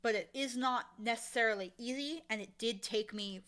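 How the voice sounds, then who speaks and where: A woman chatting casually as an interview guest, explaining her craft in an animated, emphatic way. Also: fairly high pitch, normal speed. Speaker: interview guest, audio drama creator and casting director.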